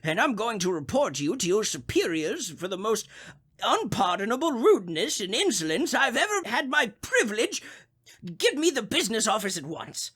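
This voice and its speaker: An actor playing a crotchety, nervous, bedridden invalid in a radio thriller, querulous and stammering, with a husky, strained voice. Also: mid-range voice, sing-song, normal speed, fairly bright tone. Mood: outraged.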